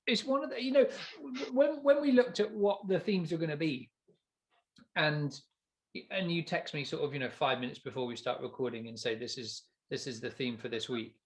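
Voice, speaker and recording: A man's voice: a little high, creaky, a bit bright. A man co-hosting a podcast, sounding stuffy and nasal from hay fever, rambling with stammered restarts and frequent laughter. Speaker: podcast co-host. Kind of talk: casual chat.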